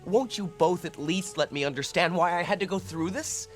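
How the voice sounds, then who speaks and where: An actor playing an earnest, impatient young American would-be hero in a radio drama, hesitant and pleading. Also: male voice, high-pitched, normal speed, faint music behind, close to an everyday voice.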